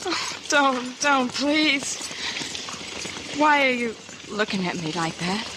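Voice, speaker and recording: A woman's voice: sits high, expressive, takes it slowly. An actress playing a wistful, self-conscious outcast frontier woman, hesitant and pleading, in a radio western. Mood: anxious, wistful. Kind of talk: old-time radio western acting. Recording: noisy old radio recording.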